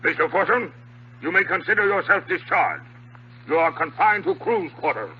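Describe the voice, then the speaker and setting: An actor playing a huge, domineering old ship's captain in a 1950s radio drama, stern, formal and commanding. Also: male voice, high voice, expressive, normal speed. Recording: dull, noisy 1950s radio recording, hum.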